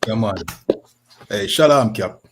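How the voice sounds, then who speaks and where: Man, Jamaican accent, low pitch, podcast host, casual talk-show delivery, repetitive filler phrases. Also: a little slow, background noise. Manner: repeats "you know what I mean".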